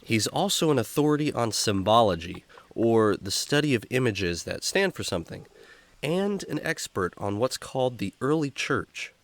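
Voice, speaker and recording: A man's voice: mid-range voice, very animated, normal speed. A man playing an upbeat, friendly jungle explorer who hosts stories in a children's radio drama. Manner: showman-like, friendly, emphatic. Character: upbeat jungle explorer man hosting a kids' story show. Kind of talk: kids' radio drama acting.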